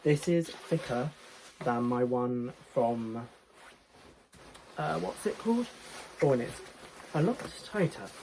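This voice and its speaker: A man's voice: mid-range voice, expressive, slow, long pauses, a little mellow, slight background noise, rough recording. A young man, chatting through a clothing try-on haul in a small bedroom; he has a cold that makes him sound a little nasal, and he rambles with fillers and restarts. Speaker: fashion vlogger. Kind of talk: casual try-on haul vlog. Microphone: camera mic, moving toward and away.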